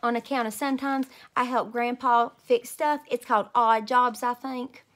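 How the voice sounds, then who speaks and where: Woman voicing an excitable, stubborn little girl. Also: on the high side, moderate pace, voice pushed up, natural voice. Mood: excited, eager. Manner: childish grammar, emphatic. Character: stubborn, excitable little girl narrating her own story.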